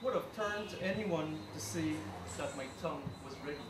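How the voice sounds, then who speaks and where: A man reciting a poem in a deliberate, declamatory way. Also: on the high side. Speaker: poetry reader, linguistics lecturer. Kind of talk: poetry recital. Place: indoor recital event room. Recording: distant mic, echoey room, loud noise.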